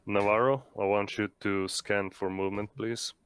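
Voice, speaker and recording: A man's voice: lowish voice, fairly even intonation, moderate pace, clean tone. A man talking casually as a player in a tabletop game.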